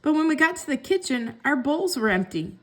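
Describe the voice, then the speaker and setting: Female storyteller for a kids' read-aloud, higher-pitched, expressive delivery. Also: fairly quick. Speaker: story reader.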